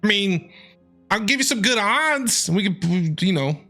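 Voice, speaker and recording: A man giving casual live reaction commentary on a video game in an excitable, jokey way, often repeating himself. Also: high voice, unhurried. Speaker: game streamer reacting to a story quest. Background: game music.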